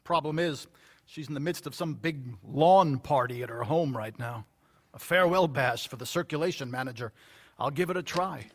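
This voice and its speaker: A man playing a worried, courteous newspaper executive in an audio drama, speaking in a measured, grave way. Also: fairly high pitch. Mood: concerned.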